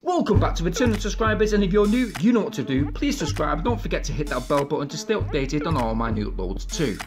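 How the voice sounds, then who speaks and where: A man's voice: on the high side, sing-song, quick pace. A man with an English accent, narrating a casual step-by-step tech tutorial in an upbeat, chatty way. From England. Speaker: tech video host. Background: background music.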